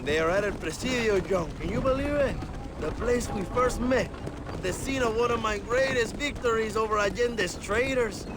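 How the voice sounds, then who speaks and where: A man's voice: sits high, normal speed, noisy background, natural voice. An actor playing a vain, womanizing Mexican rebel leader in a video game cutscene, theatrical and grandiose, with a Mexican Spanish accent. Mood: rousing, grandiose. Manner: pompous, theatrical, effusive, peppering English with Spanish.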